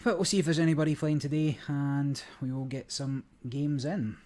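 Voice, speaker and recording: A man hosting a gaming channel, talking through a card game in a relaxed, rambling way, his voice a little croaky. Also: on the high side, moderate pace. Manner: relaxed, rambling, many fillers. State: slightly croaky voice. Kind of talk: casual gameplay commentary.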